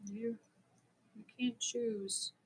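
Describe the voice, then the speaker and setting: A woman's voice: on the high side, fairly even intonation, very slow, breathy edge, poor audio. A woman from Germany, chatting casually and a little nervously as she hosts a live stream, prone to giggling. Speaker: crochet live stream host.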